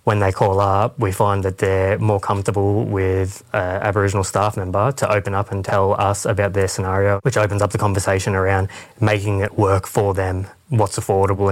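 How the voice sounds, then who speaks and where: Flat-voiced man from Western Sydney. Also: deep voice, dry recording. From Western Sydney, Australia. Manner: steady, measured, with some fillers. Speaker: senior coordinator. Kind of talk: radio interview answers.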